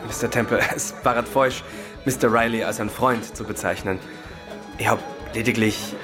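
An actor playing a bereaved, bitter husband, halting and intense, in a radio crime drama. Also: male voice, lowish voice, steady tone, over music, lightly acted. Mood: reserved.

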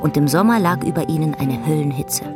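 An actress narrating a literary radio play in a measured, solemn way. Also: female voice, low-pitched, over music, close to an everyday voice. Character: solemn storyteller of an old man and horse.

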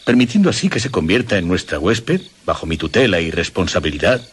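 Low-voiced, courtly Castilian father. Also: very animated, a little fast, husky, heavily acted. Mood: courteous concern. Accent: Castilian Spanish. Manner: formal, gallant, theatrical diction. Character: courtly, kindly aristocratic father of a young woman. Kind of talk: radio drama acting.

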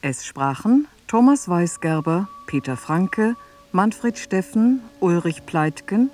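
A woman's voice: fairly low pitch, lively intonation, takes it slowly, darkish tone, faint music behind. A woman announcer reading scripted credits for a radio play.

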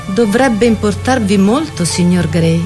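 A worldly, persuasive aesthete played by a woman speaks in a declamatory literary drama, exalting youth and pleasure. The voice sits at an ordinary pitch, with loud music behind it. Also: lively intonation, a little slow, close to an everyday voice. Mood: admonishing.

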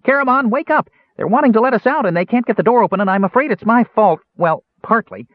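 Guy doing a tiny naive storybook kender, high pitched, rambly and earnest, easily hurt, thin radio-like sound. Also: huge pitch swings, brisk, husky, dry recording, narrow, tinny audio, exaggerated voice. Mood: eager, flustered. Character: small chatty kender, naive, eager, easily wounded.

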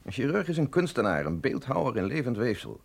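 Wry scientist with swooping intonation. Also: male voice, medium pitch, very animated, fairly quick, creaky, slightly rough, exaggerated voice. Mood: wry, ironic. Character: wry, skeptical male physiologist, urbane and philosophical. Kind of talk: Dutch radio drama acting.

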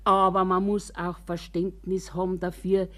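A woman's voice: on the low side, level tone, measured pace, a bit bright. An older actress playing a grumbling, wary old woman from a care home in a radio monologue, with a Bavarian accent and a rambling, complaining, confiding way of talking. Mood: rambling, plaintive. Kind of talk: radio drama monologue in colloquial speech.

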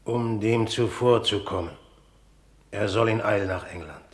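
An actor playing a grave, suspicious, calculating king in a classical radio tragedy, measured and brooding. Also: on the low side, lightly acted. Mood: brooding, resolute. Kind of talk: classical stage tragedy in German radio drama.

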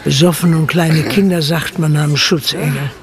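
An actor narrating a radio play as a matter-of-fact, gossipy villager with a dry, colloquial manner. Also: male voice, a little high, steady tone, normal speed, a crowd in the background, lightly acted. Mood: wry.

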